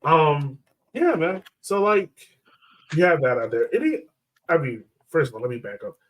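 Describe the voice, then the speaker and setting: A man's voice: a little high, sing-song, normal speed, distant mic, poor audio. A man co-hosting a soccer podcast, talking in a casual, slangy, informal way. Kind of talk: casual sports chat.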